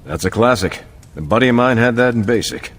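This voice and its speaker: A man playing a modest veteran soldier superhero in a video game, speaking in a measured, sincere, plain-spoken way with music behind him. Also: medium pitch, lively intonation, lightly acted. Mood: casual. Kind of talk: video game cutscene acting. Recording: background music under the voice.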